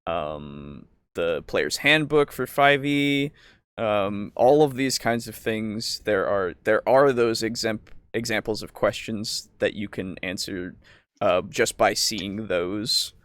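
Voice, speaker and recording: Clear-voiced man hosting a casual podcast. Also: lowish voice, slow, fairly bright tone, no room sound. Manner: informal, rambling, prone to chuckling. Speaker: podcast host. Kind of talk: casual podcast chat and show sign-off.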